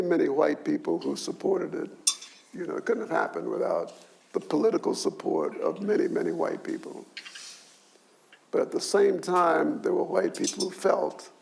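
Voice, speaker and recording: An older man with a high, strongly gravelly voice speaks on a discussion panel. His pitch moves a lot as he explains, and he often repeats a word before going on. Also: a little mellow, a little hiss or hum. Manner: repeats and restarts words, adds "you see". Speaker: sociology professor, panelist.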